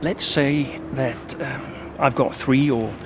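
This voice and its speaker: Worn-out older racer in a game cutscene, blunt, guarded, noise behind him. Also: male voice, mid-range voice, fairly even intonation, slow. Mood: hesitant, guarded. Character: gruff veteran male racing driver nearing retirement. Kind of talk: naturalistic video game cutscene acting.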